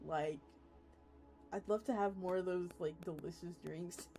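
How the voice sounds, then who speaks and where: A woman's voice: fairly low pitch, measured pace, soft background music, poor audio. Casual woman streamer chatting to viewers. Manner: informal, animated reactions, addresses viewers. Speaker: streamer hosting a live read-along. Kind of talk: casual livestream chat, reacting and reading aloud.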